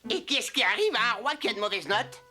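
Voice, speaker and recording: A woman voicing a cocky, excitable monkey detective in a cartoon, boastful and theatrical. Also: fairly high pitch, quick pace. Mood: pompous, sleuthing. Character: cocky excitable monkey playing self-important private detective. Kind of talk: animated series dialogue. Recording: music under the voice.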